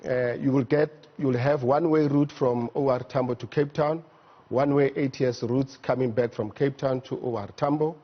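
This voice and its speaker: A man with a South African accent explaining things methodically and haltingly as an expert guest in a studio interview. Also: mid-range voice, fairly even intonation, highs cut off. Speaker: air traffic management executive, interview guest. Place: TV news studio.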